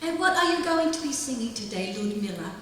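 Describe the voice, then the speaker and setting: A woman's voice: a little high, sing-song, a little fast, a loud crowd. A woman playing a genteel talent show hostess in a live radio-style comedy, from far off in a very echoey hall. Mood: polite. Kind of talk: live old-time radio comedy acting. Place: live stage show in a library.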